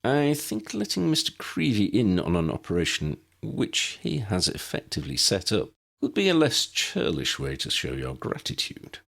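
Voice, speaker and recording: A man voicing an urbane, wry male wizard in an audiobook reading, speaking in a measured, formal way. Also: lowish voice, wildly swooping pitch, gravelly, a bit bright, pitched above the performer's own voice, exaggerated voice.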